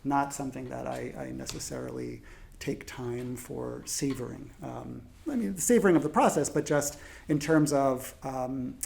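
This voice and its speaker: Gravelly-voiced man on a panel. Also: mid-range voice, a little mellow. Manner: halting with many fillers and restarts. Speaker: panelist translator. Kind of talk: panel discussion.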